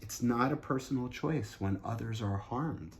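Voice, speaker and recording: A man's voice: medium pitch, moderate pace. A man reading a picture book aloud as a teacher, earnest and emphatic, with explanatory asides to young listeners. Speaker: teacher and host of a children's read-aloud show.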